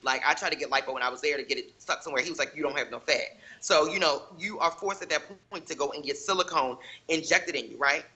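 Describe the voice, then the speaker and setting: A woman speaks up on a talk panel in a husky, breathy voice with a strikingly bright edge. She makes her points emphatically and keeps looking for agreement with a quick "right?". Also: sits low. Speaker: panel guest. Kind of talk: casual panel discussion.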